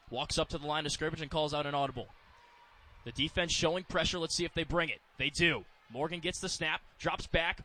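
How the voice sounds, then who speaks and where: A man's voice: fairly high pitch, bright, forceful tone. A man with an American accent giving live radio play-by-play of American football in clipped, descriptive phrases.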